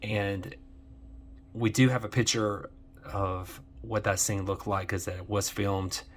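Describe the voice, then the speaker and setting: A man hosting a film-fan video series, talking casually in a halting, rambling way and often correcting himself. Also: lowish voice, fairly even intonation, slight background noise.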